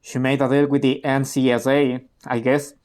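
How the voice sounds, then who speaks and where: A man playing a candid private security insider in a radio play, explaining events in a measured, matter-of-fact way. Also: mid-range voice, level tone, darkish tone, close to an everyday voice.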